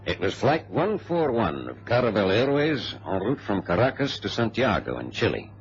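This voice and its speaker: A man playing a passenger who gravely narrates a radio drama, heard on a muffled old recording. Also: fairly low pitch, sing-song, moderate pace, a little hiss or hum. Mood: grave recounting. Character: male passenger gravely recounting a hijacked flight.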